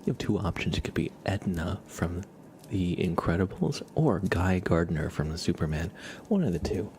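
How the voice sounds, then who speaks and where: Deep voiced guy doing live asmr, soft and playful, half whispered, noisy background w scissor sounds. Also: expressive, normal speed. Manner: soft-spoken, playful, slips between murmur and whisper. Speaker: ASMR livestreamer. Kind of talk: ASMR live chat with roleplay, partly whispered.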